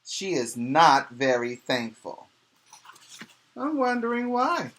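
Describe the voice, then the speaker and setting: A man's voice: fairly high pitch, sing-song, slow pace, a bit bright, a little hiss or hum. A man who is a preschool teacher, reading a storybook aloud expressively to young children and explaining it with questions and emphasized key words.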